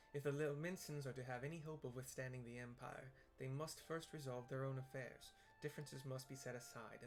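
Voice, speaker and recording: A man narrating a game playthrough, reading story dialogue aloud and describing the scenes. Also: mid-range voice. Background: game music.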